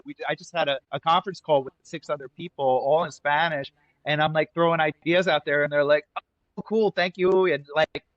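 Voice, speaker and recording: An American man around fifty, a restaurant owner talking about his life in a relaxed, rambling way with lots of "like" and restarts. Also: fairly high pitch, no echo, highs cut off. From United States. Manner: easygoing, lots of likes and restarts. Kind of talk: casual interview conversation.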